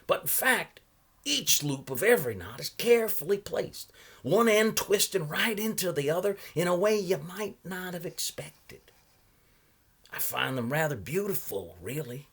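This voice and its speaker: Odd old traveling salesman played by a male reader: bright, swooping, genial, musing storybook character. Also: high-pitched, very animated, slightly rough, pitched above the performer's own voice, exaggerated voice. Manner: musing, folksy, halting asides.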